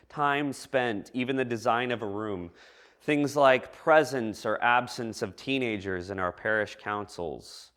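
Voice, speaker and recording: A man preaching a reflective message to a congregation in a measured, thoughtful way with deliberate pauses. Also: mid-range voice, a bit bright. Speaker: preacher giving a church message. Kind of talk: reflective sermon addressed to a congregation.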